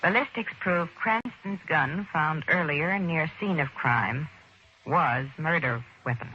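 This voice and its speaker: A woman's voice: low voice, slow, sharp tone, husky, full character voice. An actress playing a shrewd, nervy young woman in an old radio crime drama, speaking in an emphatic, dramatic way. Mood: tense reading. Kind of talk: 1940s radio drama acting. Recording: dull, faintly noisy old radio recording.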